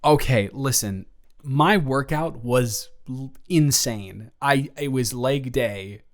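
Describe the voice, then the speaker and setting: A man's voice: medium pitch, slow. A man playing a young, teasing, easily flustered best friend in an improvised audio roleplay, joking and rambling with stammered restarts. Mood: rambling, curious. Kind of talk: improvised audio roleplay, casual one-sided conversation.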